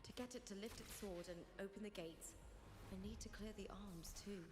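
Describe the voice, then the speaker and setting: A woman's voice: mid-range voice, moderate pace, lightly acted. A woman voicing a solemn, reverent young figure in a fantasy video game, in scripted story dialogue. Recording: echoey long reverb, music behind.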